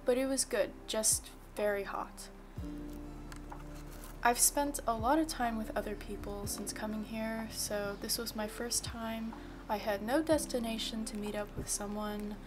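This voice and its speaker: A young woman vlogger calmly reading a scripted voice-over about her day, soft and reflective. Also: a little high, unhurried. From Virginia. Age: young adult. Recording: music bed under the voice. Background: soft background music.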